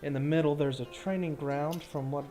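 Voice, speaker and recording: A man voicing a wary, guarded thief and informant in a tabletop game, speaking in a hesitant, folksy, measured way. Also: on the high side, steady tone, music in the background, lightly acted. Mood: wary, musing. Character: wary low-life male thief and informant, casual and guarded.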